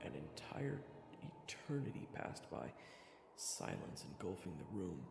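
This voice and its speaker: A man's voice: low voice, big pitch swings, measured pace, vocal fry, over loud music, heavily acted. A man playing a self-deprecating young swordsman who narrates his own fantasy story, nervous and sometimes stammering. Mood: hushed storytelling. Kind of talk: first-person scripted narration and acting.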